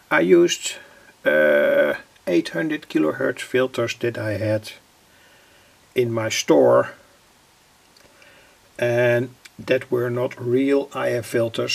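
Male hobbyist vlogger, Dutch accent, extremely gravelly and rough-edged voice, halting informal technical explanation. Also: mid-range voice. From Netherlands. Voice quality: gravelly, husky. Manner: halting, deliberate phrasing with pauses. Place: indoors at a home electronics workbench.

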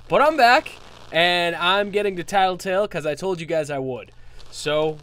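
A young man with a nasal, flu-stuffed voice, commentating a horror game on a headset and yelling at the game when startled. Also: high voice, slight background noise. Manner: jumpy, yells at the game. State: recovering from flu. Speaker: gaming youtuber.

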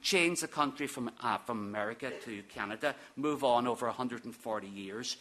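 A man's voice: mid-range voice, normal speed, pushed and bright. A middle-aged man from Ireland reading a prepared lecture at a podium in a conference hall, with dry, wry asides. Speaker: academic speaker. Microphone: podium microphone.

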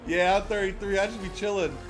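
Brief: relaxed thirties male streamer, a bit high-pitched, game music behind. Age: 30s. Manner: laid-back, chatty, swears casually. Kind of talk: casual gaming livestream chat. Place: home room, indoors. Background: game music and gunfire.

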